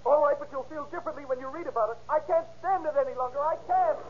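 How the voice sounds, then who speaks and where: An actor playing an intense, jealous and desperate young boyfriend in old-time radio drama style. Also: male voice, very high-pitched, racing. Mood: desperate, pleading. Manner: intense, pleading or bullying. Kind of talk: 1930s-40s radio drama acting. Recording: muffled, noisy old-time radio recording.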